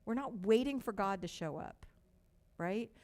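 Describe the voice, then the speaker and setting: Older woman minister, light Texas accent, mid-range voice; preaches in slow, emphatic repeated phrases and folksy story asides. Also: medium pitch, animated, darkish tone. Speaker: pastor. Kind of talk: sermon.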